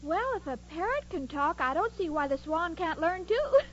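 Sweet-tempered dizzy girl for vintage radio comedy: extremely high, naive, cheerful, on a heavily noisy old recording. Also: sing-song, normal speed, muffled recording. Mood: cheerful, earnest. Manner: earnest, dim-witted. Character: cheerful, naive, scatterbrained young woman. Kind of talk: 1940s radio comedy acting.

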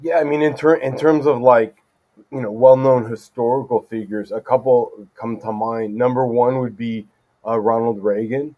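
A middle-aged man from California, a judge as podcast guest, answering in a thoughtful, measured way with frequent fillers. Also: medium pitch, fairly even intonation, dark tone, poor audio. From California, USA. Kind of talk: casual interview conversation.

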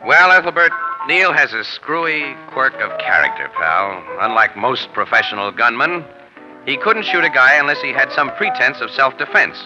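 An actor playing a wry, slangy American newspaper photographer who solves crimes, in a 1940s radio drama. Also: male voice, medium pitch, lively intonation, moderate pace, over music, close to an everyday voice. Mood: amused, breezy. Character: wisecracking newspaper photographer sleuth, easygoing and wry. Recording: muffled 1940s radio recording.

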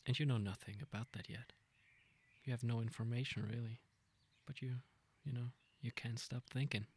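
A man leading a guided meditation, speaking gently and informally in an unhurried, intimate way. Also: medium pitch, steady tone, slightly rough. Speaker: meditation guide, podcast host.

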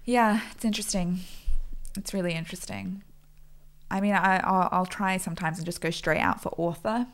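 Tired, gravelly woman co-hosting a podcast. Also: on the low side, normal speed. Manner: easygoing, laughs often. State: low energy, tired. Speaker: podcast co-host. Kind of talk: casual chat.

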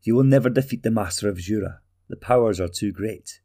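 An earnest king with a low, gravelly voice as a man reads him. He warns and pleads with sincerity. Read within a storybook narration. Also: fairly quick, mellow.

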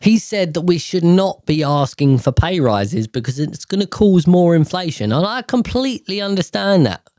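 A man's voice: sits high, lively intonation, moderate pace, few pauses, a little mellow, no room sound, studio quality. A man ranting casually to camera, profane and exasperated, rambling with sighs and restarts.

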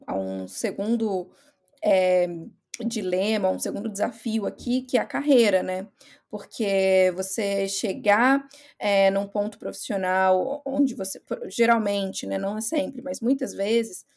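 A woman's voice: medium pitch, measured pace, creaky, a little mellow. A Brazilian woman with a Brazilian Portuguese accent, hosting a casual chat and steering the questions. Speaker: host.

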